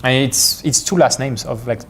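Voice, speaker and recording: A man's voice: medium pitch, moderate pace, a bit bright, a little hiss or hum. Man explaining pronunciations. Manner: hesitant, many fillers and restarts. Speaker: vintage watch expert. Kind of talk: casual conversational explanation.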